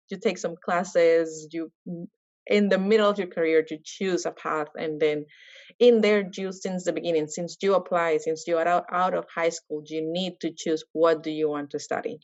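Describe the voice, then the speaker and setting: A woman in her thirties from Colombia with a low voice tells her story in a lively, rambling way. The sound is dull and very dry, like a video call. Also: dry recording. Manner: animated, many fillers and restarts. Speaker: guest, medical scientist. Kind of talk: casual interview answers.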